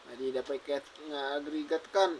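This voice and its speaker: A man's voice: on the high side, fairly even intonation, moderate pace, loud music behind the voice, natural voice, low-quality recording. A man with an Ilocano accent playing a sassy, blunt, teasing companion in a drama, with a mocking manner. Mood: blunt, needling.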